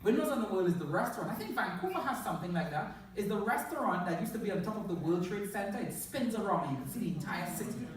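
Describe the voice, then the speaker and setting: A man from Trinidad with a Trinidadian accent spins jokes for a crowd, laughing through his stories. His voice sits fairly high and swings widely in pitch. He is heard from a distance in a very echoey hall with faint background noise. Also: quick pace. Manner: laughs often, animated storytelling, asks the crowd. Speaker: motivational speaker. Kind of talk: humorous talk to a live audience. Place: large hall in front of an audience.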